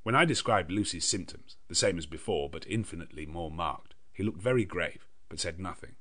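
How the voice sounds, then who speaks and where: Very deep-voiced male audiobook narrator. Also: lively intonation, bright, forceful tone. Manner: measured, literary storytelling. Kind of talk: scripted narration of a gothic novel.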